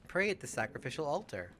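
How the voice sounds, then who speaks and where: A man chatting casually as he commentates on a game, halting and often trailing off mid-thought. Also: mid-range voice, a bit bright, a little hiss or hum. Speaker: let's play podcast host.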